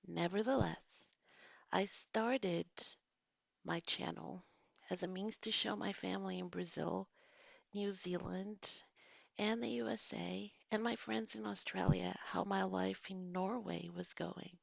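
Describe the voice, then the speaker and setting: A woman's voice: mid-range voice, fairly even intonation, a little slow, gravelly, no echo, muffled recording. A woman in her forties, a vlogger and teacher, reads a written movie review and drifts off into casual, digressive asides. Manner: digressive, reads formal sentences then drifts into casual remarks. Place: home.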